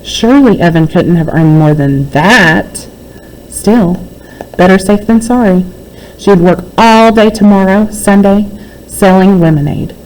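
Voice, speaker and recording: A woman's voice: mid-range voice, a little slow, darkish tone, rough recording. A woman reading a children's book aloud as a narrator. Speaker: read-aloud reader.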